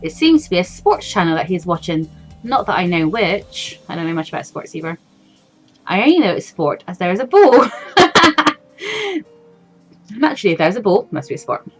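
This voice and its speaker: A woman's voice: medium pitch, sing-song, moderate pace, long pauses, slightly rough. A woman hosting a game playthrough, reading story text aloud expressively and adding casual asides of her own. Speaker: let's play host. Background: faint game music.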